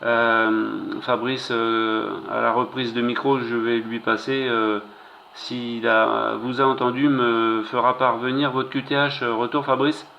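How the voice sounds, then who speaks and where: A man's voice: medium pitch, level tone, a little slow, creaky. Man on dull, faintly noisy radio link. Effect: two-way radio transmission. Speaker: amateur radio operator. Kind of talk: casual radio contact chat.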